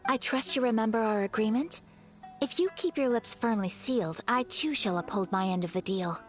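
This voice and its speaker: Refined, high-voiced young noblewoman, acted by a woman. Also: normal speed, natural voice. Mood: firm. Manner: formal, courteous, gently firm. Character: refined young noblewoman, polite, principled and composed. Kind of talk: video game voice acting. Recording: faint game music under the voice.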